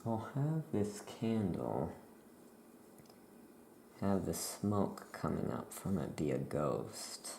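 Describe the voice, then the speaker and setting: A soft-spoken ASMR performer playing a laid-back witch shopkeeper, murmuring in an unhurried way while writing. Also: deep voice, sing-song, creaky. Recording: roomy echo, faint background noise.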